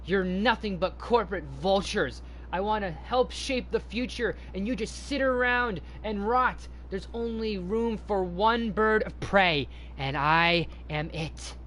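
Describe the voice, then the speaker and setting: Man voicing an earnest, higher-pitched young woman inventor. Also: a little slow, a bit bright, a little hiss or hum. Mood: hurt, defiant. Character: proud, idealistic young woman inventor, defiant.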